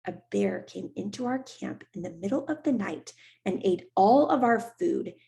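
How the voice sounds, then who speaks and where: A woman's voice: medium pitch, lively intonation, a little slow, creaky, dark tone. A woman reading aloud and voicing a reserved, somewhat haughty young girl. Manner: cool, slightly haughty.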